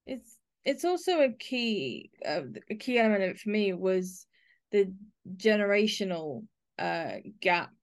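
A woman hosts a podcast. Her voice sits at a middle pitch, and she speaks as an interviewer and presenter. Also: expressive, measured pace. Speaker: podcast host.

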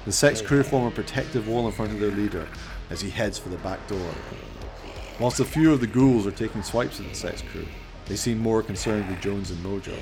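A man reading scripted radio play narration in a melodramatic storytelling manner. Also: fairly low pitch.

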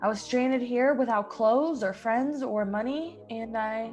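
Lady doing plucky young gal in old radio play, highish voice, earnest and imploring, music under it. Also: a little slow, darkish tone, close to an everyday voice. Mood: pleading. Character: plucky, pleading young woman stranded in boy's disguise. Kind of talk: old-time radio drama acting.

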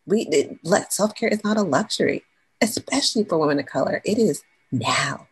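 A woman guest on a podcast, an animated storyteller who talks in slang and acts out what people said. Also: fairly low pitch, moderate pace, husky, rough recording. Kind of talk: casual interview chat.

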